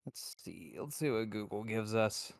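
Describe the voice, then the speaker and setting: Guy on a podcast, slow talker, clean voice, dry sound, sort of thinking out loud. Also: on the low side, lively intonation, gravelly, dry recording. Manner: hesitant, thinking aloud. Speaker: podcast co-host. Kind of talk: casual chat.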